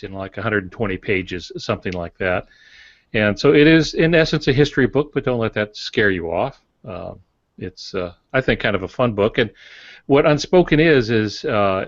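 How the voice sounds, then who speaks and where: A man's voice: fairly low pitch, a bit bright, dry recording. An older man, an author and podcast co-host, talking about his book in a rambling way with frequent filler sounds and restarts, over a video call. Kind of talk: casual explanation on a podcast. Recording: dull, dry lo-fi sound.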